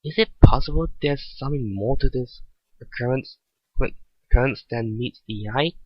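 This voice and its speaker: Man voicing young female mechanic, storybook character, curious, earnest, halting delivery, dull lo-fi audio. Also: mid-range voice, breathy edge, dry recording, natural voice. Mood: curious, puzzled. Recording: dull lo-fi recording.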